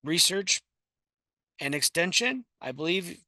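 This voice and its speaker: A man hosting an online extension webinar, introducing the speaker with many ums and halting phrases. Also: sits high, animated, bright, forceful tone, no echo, clean studio sound. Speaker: webinar host.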